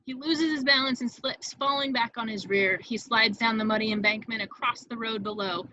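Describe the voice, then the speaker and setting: A woman's voice: fairly high pitch, moderate pace, pushed and bright, no room sound, muffled recording. A woman reading scripted stage directions as the narrator of a table read, in a vivid storytelling manner.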